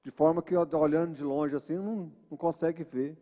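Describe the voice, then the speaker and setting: A man's voice: fairly high pitch, steady tone, brisk. A man with a Brazilian Portuguese accent preaching an informal sermon, teaching in a conversational way with frequent tag questions. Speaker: church preacher. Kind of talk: informal sermon retelling Bible stories. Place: large reverberant church hall. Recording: muffled, echoing church hall recording.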